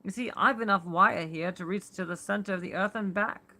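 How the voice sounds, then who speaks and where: A woman voicing a calm, earnest man who tells his friend to stay behind, speaking steadily and a bit louder. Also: medium pitch, a little fast.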